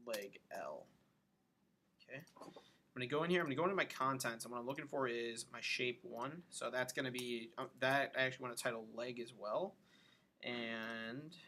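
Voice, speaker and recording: A man giving a casual software tutorial, thinking aloud informally as he works through each step. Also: medium pitch, a little hiss or hum.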